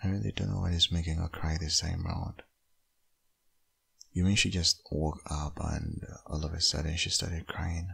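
A man playing a caring, sleepy young father and ex-boyfriend in an ASMR audio roleplay, gentle and hesitant, with a low husky voice. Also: level tone, normal speed, full character voice. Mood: sleepy, puzzled.

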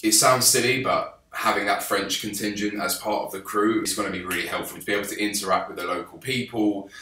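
A young man from England in his twenties, pitching his film to camera with eager gestures and frequent restarts. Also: fairly even intonation, fairly quick, sharp tone, far from the mic. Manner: enthusiastic, gestures with his hands, many restarts. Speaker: film director pitching a crowdfunding campaign. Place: small office, indoors. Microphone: camera a few feet away. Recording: roomy office sound with background music.

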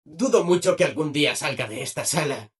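A man's voice: fairly high pitch, animated, brisk, slightly rough. A man with a Castilian Spanish accent voicing a relentlessly cheerful, eager-to-please robot assistant in a video game, with bubbly, exaggerated enthusiasm. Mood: eager explaining. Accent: Castilian Spanish, from Spain. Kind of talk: dubbed video game dialogue.

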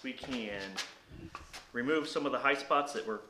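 A man's voice: mid-range voice, moderate pace. A man explaining woodwork in an echoey shop. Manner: explains steps plainly while working. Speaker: woodworking channel host. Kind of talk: hands-on workshop tutorial. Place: indoor home workshop, cluttered and hard-walled. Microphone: camera on a tripod a few metres away. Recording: echoey workshop with tool noise. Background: power tool and workshop noise.

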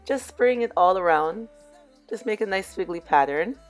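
A middle-aged woman with a hoarse voice, chatting casually as she walks viewers through a do-it-yourself crafts project. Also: medium pitch, moderate pace, faint music behind. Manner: relaxed, chatty, addresses viewers as "you guys". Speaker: DIY crafts vlogger. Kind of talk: casual crafting tutorial voice-over.

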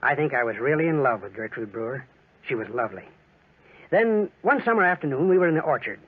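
An actor playing a squeamish, jealous young lawyer with a hesitant manner in a 1940s radio drama. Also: male voice, mid-range voice, animated, fast, breathy edge. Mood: confiding. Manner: hesitant, breaking off and restarting. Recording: muffled 1940s radio recording.

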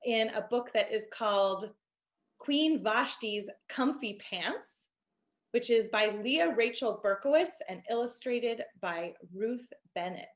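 A woman in her thirties reading a rhyming children's storybook expressively and deliberately over a video call. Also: on the high side, animated. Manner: measured, deliberate storybook delivery. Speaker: storyteller and author reading her picture book. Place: indoor room at home, on a video call. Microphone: computer video call.

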